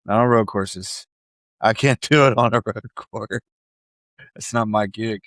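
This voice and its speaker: A man's voice: on the low side, unhurried, fairly bright tone, no echo. A man co-hosting a casual sports podcast, bantering in a joking, folksy, teasing way. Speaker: podcast co-host.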